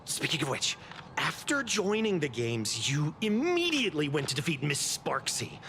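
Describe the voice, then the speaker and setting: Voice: mid-range voice, sing-song, moderate pace, fairly bright tone, slight background noise. A performer voicing an eager, polished television interviewer in an anime-style game. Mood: eager, professional.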